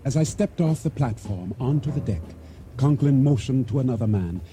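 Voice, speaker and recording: An actor playing an uneasy, trusting boatman who tells his story in measured, formal radio drama narration. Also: medium pitch, animated, background noise, lightly acted. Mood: tense, fearful. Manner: measured, formal storytelling with simple dignified phrasing. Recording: radio drama with music underneath.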